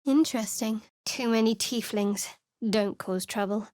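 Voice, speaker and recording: Actress for a guarded female cleric, wry and cool, slightly high voice, dry studio read. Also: unhurried, a little mellow, no echo, natural voice. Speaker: game character. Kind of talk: video game voice acting. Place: studio booth. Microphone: studio mic.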